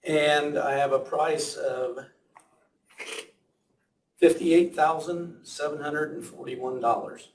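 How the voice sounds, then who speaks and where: A hoarse man who is losing his voice presents bids at a county board meeting, reading each figure slowly and repeating it. He sits well away from the microphone in an echoey room with a faint hiss behind him. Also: fairly high pitch, fairly even intonation. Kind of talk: public meeting report. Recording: echoey room, mic at a distance.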